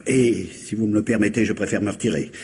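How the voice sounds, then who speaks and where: An actor playing an eccentric, evasive doctor with pompous, hesitant courtesy in a French radio comedy-mystery. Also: male voice, fairly low pitch, steady tone, fast, darkish tone, breathy edge. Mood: solemn, cryptic. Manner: pompous, hesitant, formal phrasing.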